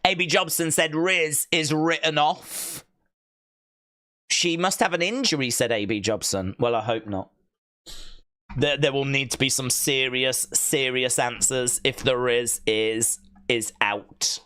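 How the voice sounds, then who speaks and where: A male livestream host talks in a loose, rambling way, as in a casual watch-along. He has a mid-pitched voice and drops in joking, deadpan asides as he chats with his viewers. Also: a little slow.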